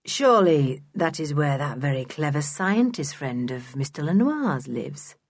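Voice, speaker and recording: A woman voicing a smooth, nosy, sinister man in a children's audiobook, measured and probing. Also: deep voice, expressive, no echo, studio quality, voice pushed down, heavily acted. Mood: slyly curious. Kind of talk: children's audiobook character acting.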